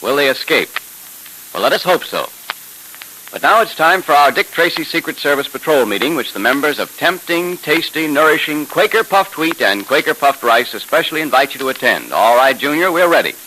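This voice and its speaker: Male 1930s radio announcer, American, emphatic sales delivery, forceful and lively, faint hiss. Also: a little high, fairly quick, poor audio. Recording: hissy 1930s radio recording.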